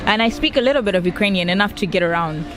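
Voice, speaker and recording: A woman's voice: mid-range voice, lively intonation, rapid-fire. A young woman being interviewed, speaking casually in a frank, matter-of-fact way. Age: young adult. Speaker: interviewee, foreign student. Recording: outdoor crowd chatter, music behind.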